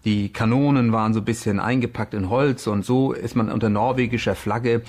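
A man's voice: medium pitch, steady tone, brisk, a little mellow. A man speaking off the cuff as an expert interviewee, explaining things. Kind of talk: unscripted explanatory interview talk.

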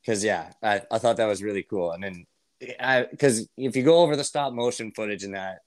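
A man's voice: fairly low pitch, a little fast, no room sound. A man speaking as a podcast guest, explaining things in an informal, enthusiastic way. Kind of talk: casual chat, explaining.

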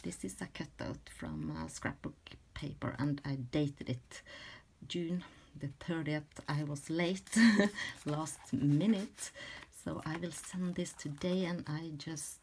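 A middle-aged woman from Norway with a Norwegian accent chats casually and haltingly as she presents her handmade craft work, searching for words in English as a second language. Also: low-pitched, animated, a little mellow, slightly rough. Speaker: crafter presenting a journal flip-through. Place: indoors at a craft table.

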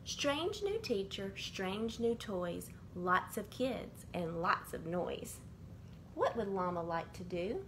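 A middle-aged woman reading a rhyming children's picture book aloud in a measured, sing-song way, as bedtime stories from a bedroom. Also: mid-range voice, big pitch swings, fairly bright tone. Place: small indoor bedroom. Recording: clearly noisy amateur home recording.

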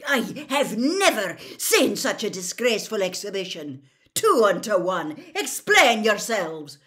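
A woman's voice: mid-range voice, big pitch swings, measured pace. A woman voicing a stern, livid older female professor in an audiobook, scolding in a clipped, indignant way. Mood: furious, shouting. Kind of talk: audiobook character acting.

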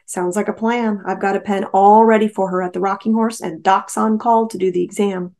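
A woman's voice: mid-range voice, steady tone, fairly quick, a little mellow, natural voice. A woman voicing an easygoing, chatty male rancher and veteran in a novel reading, self-deprecating and joking. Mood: upbeat.

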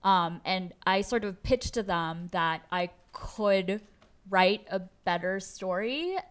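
A woman's voice: medium pitch, slow pace. A woman in her thirties from the Philippines, a native Tagalog speaker, answers conversationally as a guest journalist on stage, thinking aloud hesitantly into a handheld mic. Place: auditorium stage. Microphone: handheld microphone held at chest.

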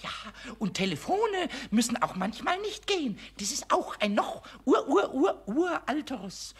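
An actor playing an impish, mischievous little male kobold in a children's radio play, squeaky and childlike, stammering excitedly and repeating words. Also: unhurried, slightly rough, exaggerated voice. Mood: proud, mischievous.

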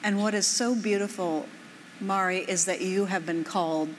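A woman's voice: mid-range voice, steady tone, moderate pace. Woman interviewer, affirming, onstage, long hall reverb. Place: large conference stage. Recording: reverberant hall, faint noise.

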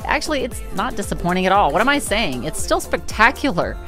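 Lively woman narrating a voice-over. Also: mid-range voice, fairly quick, faint music behind. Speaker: sailing vlog host.

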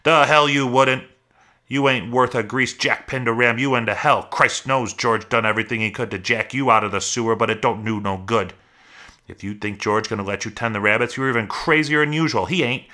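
A man voicing a gigantic, mean rabbit in a storybook, taunting and browbeating in rough country talk. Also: lowish voice, steady tone, moderate pace, pushed and bright. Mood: jeering, aggressive. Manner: jeering, browbeating rustic talk.

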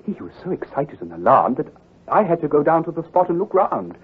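An actor playing an earnest, troubled country doctor who speaks gravely and precisely, with some hesitation, in old-time radio drama style. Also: male voice, a little high, sing-song, fairly quick, exaggerated voice. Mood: troubled, recounting. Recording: muffled, husky old radio recording.

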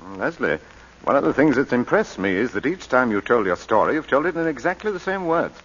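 An actor playing a calm, cultivated male attorney, in 1940s radio acting. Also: medium pitch, a little fast, slight background noise. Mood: probing. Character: calm middle-aged male attorney, probing. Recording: muffled 1940s radio broadcast.

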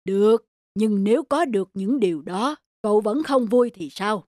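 A woman voicing a kindly, solemn old male deity who speaks in a measured, admonishing way in a cartoon fairy tale. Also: medium pitch, lively intonation, a little mellow, dry recording, clean studio sound, natural voice. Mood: solemn, benevolent.

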